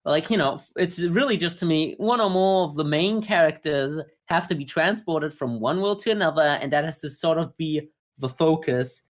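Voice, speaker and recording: A man co-hosting a podcast, talking through his views in a measured, explanatory way in a casual review discussion. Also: on the high side, expressive. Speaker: podcast co-host.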